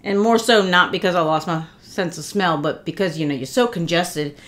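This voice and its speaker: A woman's voice: fairly low pitch, moderate pace. Chatty congested woman unboxing gifts. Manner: chatty, warm thanks, many fillers and restarts. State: congested from a cold. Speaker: vlogger. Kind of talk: casual unboxing chat. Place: living room, indoors.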